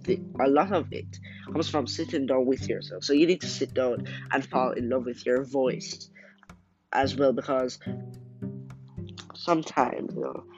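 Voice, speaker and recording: Young male podcast host, soft and deep, chatty and encouraging; muffled lo-fi audio with guitar music behind. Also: unhurried. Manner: reflective, encouraging, talks in loose run-on thoughts with many fillers. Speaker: aspiring singer. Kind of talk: casual solo podcast talk. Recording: dull lo-fi sound over loud guitar music.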